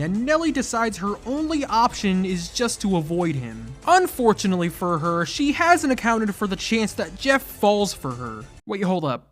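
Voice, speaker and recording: Comedic YouTube commentary host, sarcastic and exasperated, highly animated pitch, light music bed. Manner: exasperated, sarcastic, exclaims in mock outrage.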